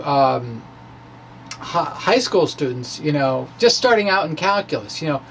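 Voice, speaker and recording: Higher-voiced man explaining, noisy recording. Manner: halting, rambling, colloquial, with wry asides and chuckles. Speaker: amateur physics blogger presenting his work. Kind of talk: informal spoken physics explanation, dramatic reading of his blog. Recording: clear background noise.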